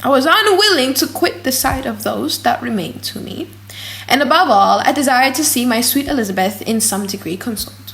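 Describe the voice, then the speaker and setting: A woman reading a gothic novel as an audiobook in a dramatic, theatrical and highly expressive manner. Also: moderate pace, gravelly, rough recording. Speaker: audiobook narrator.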